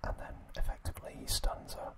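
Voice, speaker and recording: An ASMR host narrating in a hushed, breathy, unvoiced whisper, slow and unhurried. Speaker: ASMR host recapping an anime series.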